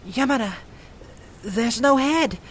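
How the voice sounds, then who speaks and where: A shaken schoolboy in shock voiced by a woman in an audio drama. The voice is fairly high, halting and repetitive, over faint rumbling noise. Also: animated.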